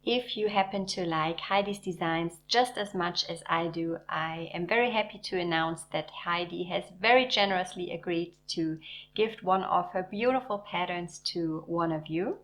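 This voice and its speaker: A woman hosting a knitting channel, narrating in a warm, enthusiastic, measured way. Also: lowish voice, a little hiss or hum. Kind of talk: scripted video narration.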